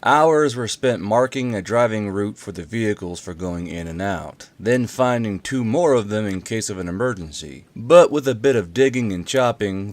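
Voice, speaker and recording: A man's voice: on the low side, a little mellow, no echo. A man hosting and narrating a story, with a casual, offhand way of talking. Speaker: channel host and narrator. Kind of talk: casual intro, then audiobook-style story narration.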